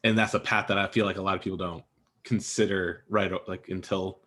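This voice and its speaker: A man's voice: low-pitched, fairly even intonation, brisk, fairly bright tone. A man hosting a podcast-style author panel, interviewing in a casual, conversational way. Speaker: podcast host and panel moderator.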